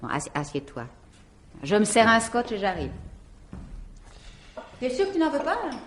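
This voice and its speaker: A woman's voice: fairly high pitch, wildly swooping pitch, moderate pace, a little hiss or hum. An actress in a French radio mystery drama playing an insistent, commanding woman who teases and keeps secrets. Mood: brisk, teasing.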